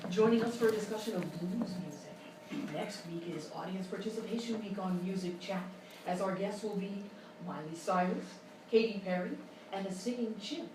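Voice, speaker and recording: A woman playing a polite music talk show hostess in a live comedy sketch, with deadpan delivery. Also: mid-range voice, normal speed, lightly acted. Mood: cordial, deadpan. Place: live stage show at a hotel. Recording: very echoey, noisy live recording, distant mic.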